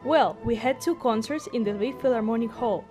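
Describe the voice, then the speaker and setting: High-voiced woman narrating over music. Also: fairly quick. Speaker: vlogger, orchestra musician and interviewer. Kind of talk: scripted narration and casual interviewing.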